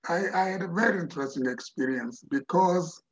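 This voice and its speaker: A man's voice: a little high, fairly quick, highs cut off. An older man from Mozambique, a linguist whose first language is Tsonga, answering interview questions thoughtfully and repeating words as he thinks. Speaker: linguist guest.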